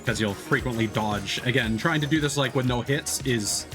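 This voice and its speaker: A man's voice: mid-range voice, normal speed. A man streaming a video game, giving casual, off-the-cuff commentary. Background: synth game music.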